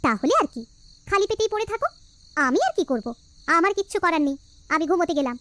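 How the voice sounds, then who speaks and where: Woman playing a high-pitched, nagging village housewife. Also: animated, fast, no room sound. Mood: exasperated. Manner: sharp-tongued, nagging. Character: scolding, exasperated village housewife Sarala. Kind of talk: cartoon voice acting.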